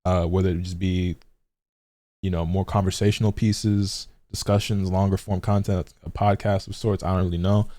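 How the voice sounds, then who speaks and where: A man's voice: sits low, little pitch movement, normal speed, warm tone, no room sound. A man streaming and chatting casually, laid-back and rambling, full of "like" and repeated words. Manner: laid-back, swears freely. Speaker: gaming streamer.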